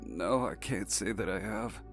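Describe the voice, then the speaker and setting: Man playing a halting, stammering prisoner. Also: lowish voice, breathy edge, faint music behind. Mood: nervous, halting. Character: timid, stammering male prisoner, long incarcerated, middle-aged.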